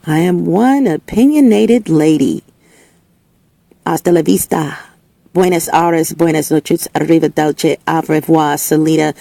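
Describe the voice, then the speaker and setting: A woman hosting her own opinion segment, talking in an emphatic, preachy way and often restarting and repeating herself. Her voice is slightly hoarse and lower than usual. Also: a little slow, dark tone, dry recording. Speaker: host of a spoken opinion segment. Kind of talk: casual opinionated monologue. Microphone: speaking into a recording setup with a level meter.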